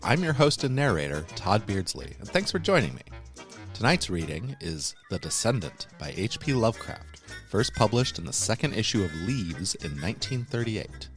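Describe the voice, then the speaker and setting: A man reading scripted horror narration as a podcast host, in a measured, deliberate and formal manner. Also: on the low side, sing-song, soft background music.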